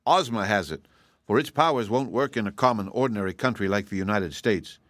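A man's voice: on the low side, animated, a little fast, fairly bright tone, no room sound. A man voicing a brave, cheerful little girl adventurer who explains things in a confident, matter-of-fact way for a storybook. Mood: brightly explaining.

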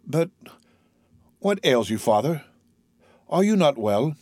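An eager twenty-year-old sailor, played by a male narrator in a classic storybook reading. He is devoted, earnest and impulsive, and the voice rides well up in pitch. The recording is dry. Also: sing-song, takes it slowly, a little mellow, no echo, full character voice. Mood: worried, urgent. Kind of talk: dramatised audiobook reading of a classic novel.

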